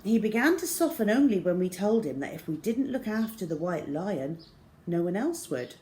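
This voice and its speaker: A woman's voice: medium pitch, sing-song, normal speed, mellow. A middle-aged woman reading a children's story aloud expressively, indoors in a room. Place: small plain room indoors.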